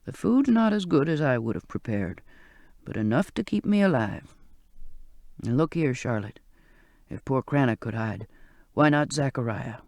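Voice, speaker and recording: A reader voicing a frail, gentle old male ship's cook who speaks in a low, hushed, slightly gravelly murmur, in an audiobook. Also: lively intonation, moderate pace, pauses often, no room sound, close to an everyday voice. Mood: patient, soft. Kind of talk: audiobook character voice.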